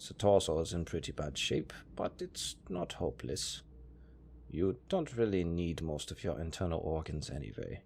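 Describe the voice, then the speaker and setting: A man with a German accent playing a cold, condescending necromancer master in an audio roleplay, speaking in a deadpan, unhurried, gravelly way. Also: sits low, a little hiss or hum, full character voice. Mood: clinical, unhurried. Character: cold, dryly matter-of-fact male necromancer master.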